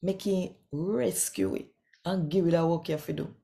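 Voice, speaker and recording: A Jamaican woman in her sixties giving an expressive, deliberate scripture reading in Patois as host. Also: lowish voice, creaky. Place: small quiet room indoors.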